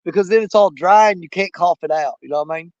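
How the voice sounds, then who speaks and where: A man hosting a fishing live stream outdoors at a small lake, hoarse and losing his voice, rambling in a folksy way straight to his viewers. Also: sits high, quick pace, no echo, dull audio. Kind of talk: casual live-stream chat.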